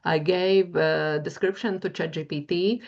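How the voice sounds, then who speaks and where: A woman's voice: on the low side, fairly even intonation, a little slow, a little mellow, muffled recording. A woman with a Latvian accent giving a webinar talk as a university lecturer, hesitant and full of fillers as she searches for words. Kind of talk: webinar presentation, screen-share demo.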